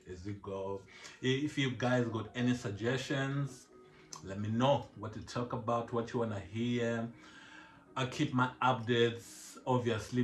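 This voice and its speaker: A man's voice: mid-range voice, reverberant. A man from Zimbabwe hosting his own music vlog, chatting casually and rambling, with frequent laughs and lots of "man". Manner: laid-back, rambling, laughs often, says "man" a lot. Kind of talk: casual chat.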